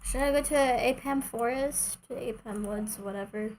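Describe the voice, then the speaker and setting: A woman's voice: on the high side, moderate pace, darkish tone, a little hiss or hum. A woman who speaks English as a second language, chatting casually as she streams a game. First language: not English. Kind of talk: casual livestream gameplay chat. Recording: game music behind the voice. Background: game music.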